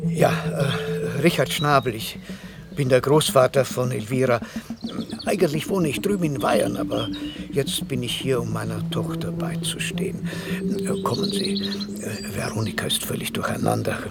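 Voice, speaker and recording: Older grandfather for radio drama: creaky voice, hesitant, apologetic, flustered. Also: mid-range voice, very animated, loud music behind the voice. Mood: worried, flustered. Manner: hesitant, halting.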